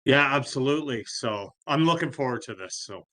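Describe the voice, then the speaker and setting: A man's voice: medium pitch, animated, fairly quick, pushed and bright. A man who coordinates a college business program, speaking easygoingly on a video call. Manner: easygoing, self-deprecating. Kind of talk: casual webinar presentation.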